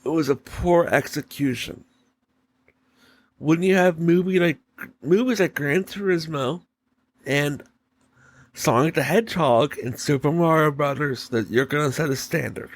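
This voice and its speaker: A man hosting a solo podcast, giving opinion commentary in deliberate, halting short phrases. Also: on the high side. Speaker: podcast host.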